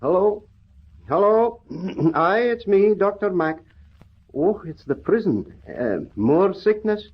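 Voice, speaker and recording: Husky Scottish country doctor. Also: male voice, high-pitched, animated, takes it slowly, heavily acted. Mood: genial, folksy. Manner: homely, exclamatory turns of phrase. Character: kindly Scottish country doctor, warm and earnest. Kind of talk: old-time radio drama acting. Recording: muffled old radio recording.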